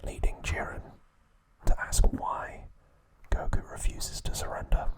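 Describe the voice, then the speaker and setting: A man's voice: unhurried, fairly bright tone. A man hosting an ASMR video, giving a whispered recap with playful asides. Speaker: ASMR channel host.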